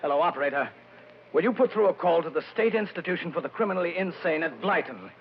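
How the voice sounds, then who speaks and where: Man playing radio melodrama villain, brusque, urgent, authoritative, highish voice, lively inflection, slight hiss. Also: fast, close to an everyday voice. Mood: brisk, commanding. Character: defensive, authoritative villain, murderous stepfather. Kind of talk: 1940s radio horror acting. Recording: muffled, hissy old radio recording.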